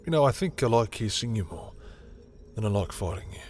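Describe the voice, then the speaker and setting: A man playing a proud, guarded yet tender villain in love, in an intimate romantic roleplay with dramatic, halting, confessional delivery. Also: lowish voice, sing-song, darkish tone, a little hiss or hum. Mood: soft, affectionate. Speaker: roleplay audio performer. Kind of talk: intimate second-person romantic roleplay acting.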